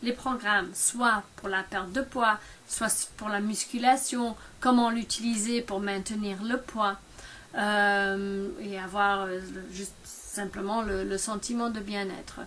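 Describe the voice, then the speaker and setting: A woman in her fifties talking casually to camera about her own experience, halting often with fillers and restarts. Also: mid-range voice, steady tone, a bit bright, a little hiss or hum. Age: 50s. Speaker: product distributor.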